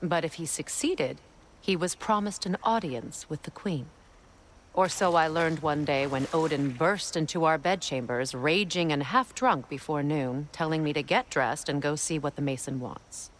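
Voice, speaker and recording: Woman acting weary proud norse goddess from a game, low voice, measured n solemn, bit of outdoor noise behind. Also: lightly acted, rough recording. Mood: wry, measured. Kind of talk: dramatic video game acting.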